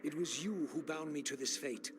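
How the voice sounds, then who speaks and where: A man voicing a brooding, embittered undead avenger in a video game, speaking in solemn, formal, measured phrases. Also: on the high side, background noise, natural voice. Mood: cold defiance. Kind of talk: dramatic video game voice acting. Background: music.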